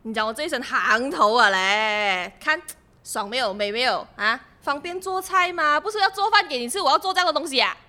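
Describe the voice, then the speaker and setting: A woman's voice: high-pitched, expressive, a little fast, sharp tone. A woman with a Malaysian accent playing a brash, bossy but caring partner in an amateur audio drama, blunt and slangy. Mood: nagging, caring.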